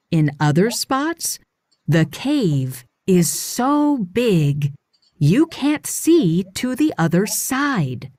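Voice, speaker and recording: Very slow, expressive woman narrator. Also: medium pitch, no echo, studio quality. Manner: deliberate, expressive phrasing for young readers. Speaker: audiobook narrator. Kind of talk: scripted children's read-aloud narration.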